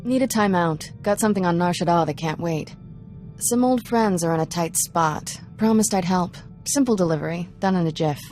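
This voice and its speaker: A woman's voice: lowish voice, normal speed, darkish tone, faint music behind, lightly acted. An actress playing a wry, streetwise young woman in a video game, speaking in clipped, casual phrases. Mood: brisk, casual. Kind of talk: video game cutscene acting.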